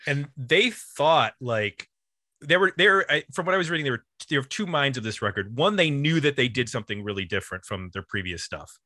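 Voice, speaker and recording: A man's voice: mid-range voice, expressive, brisk, pushed and bright, dry recording, studio quality. A man co-hosting a casual music discussion, rambling and peppering his sentences with "like". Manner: rambling, uses 'like' constantly. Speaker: podcast co-host.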